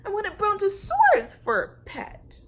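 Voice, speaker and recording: A woman voicing a spoiled, screeching little girl in a storybook, shrill and insistent as she throws a tantrum. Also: sing-song, moderate pace, vocal fry, full character voice. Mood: stubborn demanding.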